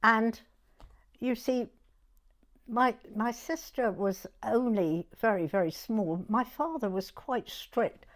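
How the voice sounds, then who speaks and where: A woman in her nineties with a southern English accent, reminiscing informally in an interview in a chatty, halting way with plenty of "you know". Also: on the high side. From Dorset, England. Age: 90s. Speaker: oral history interviewee.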